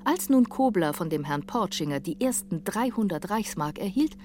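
A woman's voice: medium pitch, lively intonation, moderate pace, darkish tone, a little hiss or hum. A woman reading scripted literary narration for a radio drama.

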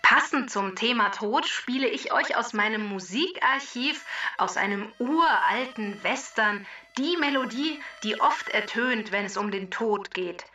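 A woman playing a cheerful, self-assured talking database that lectures briskly and precisely through a computer voice filter in a children's podcast. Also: a little high, lively intonation, pushed and bright, recorded from a distance, faint music behind. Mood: self-assured, explaining. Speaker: co-host database character. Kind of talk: scripted explanation in a children's podcast.

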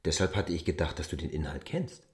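An actor playing a formal, self-assured newcomer in a literary radio drama, with a husky, deliberate delivery. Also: male voice, very deep voice, very animated, no echo, full character voice. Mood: formal, composed. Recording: dry studio with faint music.

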